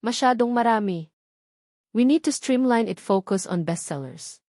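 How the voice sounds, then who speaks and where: A woman's voice: a little high, animated, unhurried, darkish tone, dry recording, studio quality. A woman with a Filipino accent voicing a cold, unyielding male executive in an audio love story, formal and clipped. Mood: firm, critical.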